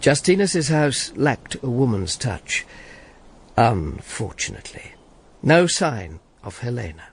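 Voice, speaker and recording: An actor playing a wry, world-weary Roman informer in a radio drama, dry and sardonic. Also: male voice, medium pitch, big pitch swings, slow, slight background noise. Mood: dry, confiding. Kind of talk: radio drama dialogue and first-person narration.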